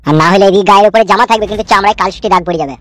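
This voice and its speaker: Man voicing a rapid-fire, pushy cartoon party henchman. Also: sits high, crowd noise, lightly acted. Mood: threatening. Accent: rural West Bengal. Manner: insistent, bullying, wheedling. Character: pushy, foul-mouthed male party henchman canvassing for votes. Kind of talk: fast comic cartoon dialogue.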